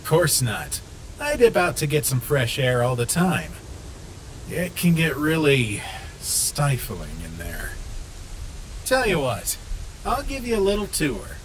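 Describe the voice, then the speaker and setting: A man's voice: fairly high pitch, very animated, slow, a little hiss or hum, low-quality recording. An actor voicing a cocky, teasing young male rabbit animatronic in a comic dub. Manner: teasing, playful.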